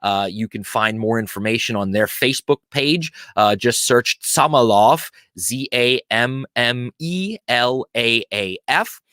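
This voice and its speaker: A man's voice: mid-range voice, expressive, unhurried, hardly any pauses, bright, forceful tone, no room sound, clean studio sound. A man from Pennsylvania hosting a live stream, casually greeting viewers and chatting in a friendly, talkative way. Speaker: host of a live-streamed show.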